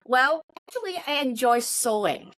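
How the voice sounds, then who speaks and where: A woman's voice: sits high, animated, moderate pace, a bit bright, dry recording, studio quality. A woman from Hong Kong with a Chinese accent, answering interview questions and telling personal stories as a native Chinese speaker. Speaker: interview guest, technology company chief of staff.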